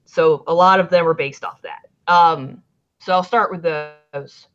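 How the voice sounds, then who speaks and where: A woman's voice: on the low side, steady tone, measured pace. Rambling southern woman, muffled stream audio. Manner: rambling, chatty, swears freely. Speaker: band manager. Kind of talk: casual livestream chat.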